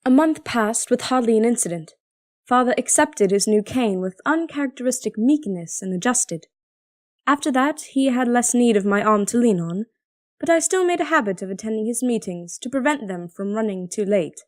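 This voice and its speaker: An actress playing a devoted, strong-willed young princess in an audio drama, speaking and narrating in a measured, earnest, solemn way. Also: female voice, on the high side, expressive, no room sound, studio quality, close to an everyday voice. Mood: solemn, reflective. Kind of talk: audio drama acting with first-person narration.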